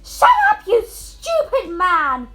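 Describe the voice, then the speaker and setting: A woman's voice: very high-pitched, huge pitch swings, slow, pushed and bright, voice pushed up, exaggerated voice. A female reader voicing a domineering, furious stepmother in a storybook, with a shrieking, snapping manner. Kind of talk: storybook character voice.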